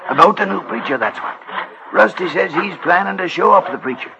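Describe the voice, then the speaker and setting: A man's voice: sits high, lively intonation, fairly quick, gravelly. An actor playing a jolly, heavy-set handyman with an Irish brogue, in 1940s radio drama style. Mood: confiding. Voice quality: hearty, folksy brogue. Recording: lo-fi old radio broadcast with hiss.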